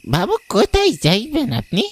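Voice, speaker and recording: A woman's voice: fairly high pitch, wildly swooping pitch, normal speed, slightly rough, full character voice. A woman voicing a chatty, deferential village porter in a cartoon, with rustic speech. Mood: reluctant. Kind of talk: cartoon dialogue. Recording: background music, night insects.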